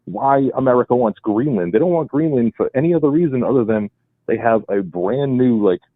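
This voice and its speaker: A man's voice: mid-range voice, lively intonation, fairly quick, dry recording. A man with a Boston accent calling in to a radio show, explaining at length with rambling emphasis. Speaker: caller. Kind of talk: call-in radio talk. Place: home, with his wife beside him. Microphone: speakerphone, then handset. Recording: dry phone line.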